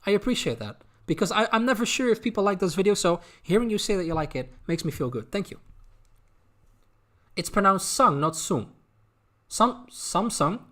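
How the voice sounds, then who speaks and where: A man with a Dutch accent chatting casually as a streamer, answering viewer questions in a halting way, often repeating words as they think aloud. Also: high voice, expressive, pushed and bright. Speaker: streamer, anime content creator.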